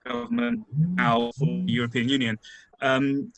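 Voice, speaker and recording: A man in his fifties with a gravelly, creaky voice offers a measured comment on a webinar. His voice is dry and close, with no room sound. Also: mid-range voice, very animated, no echo, poor audio. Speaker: marine conservation professional. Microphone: video call.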